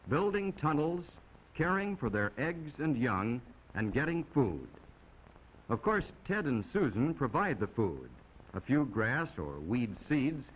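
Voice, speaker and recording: An American man narrating a 1950s classroom film in a folksy, instructive way with chatty asides to young viewers, on an old film soundtrack. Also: mid-range voice. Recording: dull, noisy 1950 film soundtrack.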